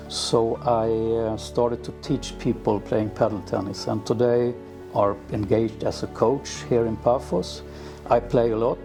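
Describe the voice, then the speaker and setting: A middle-aged man who grew up in Sweden, answering interview questions in an unhurried way with many fillers and restarts. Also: mid-range voice. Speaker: villa owner. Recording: music playing underneath.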